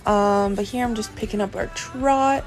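A woman's voice: mid-range voice, steady tone, moderate pace, soft background music. Chatty young woman vlogging from the barn. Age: young adult. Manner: chatty, self-deprecating, upbeat. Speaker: equestrian vlogger. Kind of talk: casual vlog chat and voiceover. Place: indoor riding arena and barn, some outdoors.

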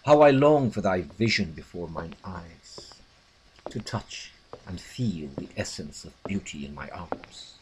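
A man's voice: low-pitched, lively intonation, unhurried, a little hiss or hum, low-quality recording. A man playing a lovestruck young widowed noblewoman in a theatrical, declamatory Shakespearean soliloquy, yearning and poetic. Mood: rapturous longing. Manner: declamatory, archaic, romantic delivery.

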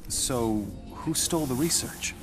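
A man's voice: mid-range voice, unhurried, over music, natural voice. A man playing an inquisitive reporter in a video game cutscene, asking questions in a restrained, probing way.

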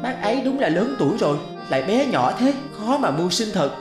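A man voicing a kind, brave young man in an animated fairy tale, earnest and forthright. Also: high-pitched, a little fast, over music. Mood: kindly.

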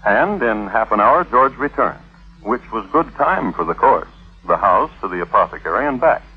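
A man's voice: fairly low pitch, lively intonation, normal speed, breathy edge. A man giving dry, droll, formal scripted narration for a 1950s radio program. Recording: tinny 1950s radio recording, music behind.

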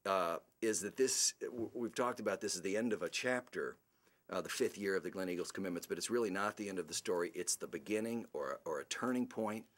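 A man, an advocacy group's CEO, giving a formal policy speech at a report launch, earnest and halting with many restarts. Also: low-pitched, a bit bright.

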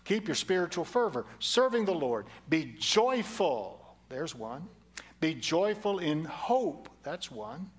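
A man's voice: fairly high pitch, big pitch swings, slow pace, husky. An older man preaching a sermon from a church stage, earnest and repeating key phrases for emphasis, on a headset mic. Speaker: pastor. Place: large church sanctuary stage at a lectern.

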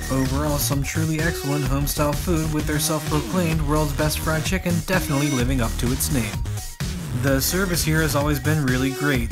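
A man reading a scripted restaurant review as a voice-over narration. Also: medium pitch. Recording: loud music under the voice. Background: loud music.

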